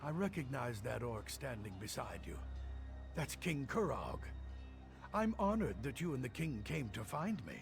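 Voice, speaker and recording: Nervous, creaky-voiced alchemist. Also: male voice, medium pitch, very animated, normal speed, over music. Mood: relieved, shaken. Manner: nervous, earnest, scholarly. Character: anxious, bookish male alchemist, cowardly but earnest. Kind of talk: video game voice acting.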